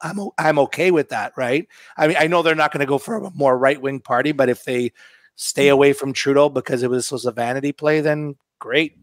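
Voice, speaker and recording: A man's voice: medium pitch, fairly quick, dry recording. A man with a Canadian accent co-hosting a podcast in casual, opinionated chat. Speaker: podcast co-host.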